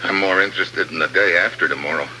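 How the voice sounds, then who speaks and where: An actor playing a tough, laconic American secret agent in a 1950s radio adventure, terse and unflappable. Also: male voice, low-pitched, fast talker, a little hiss or hum, poor audio. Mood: curt, defiant. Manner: terse, hard-boiled, unflappable. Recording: old 1950s radio recording, loud music.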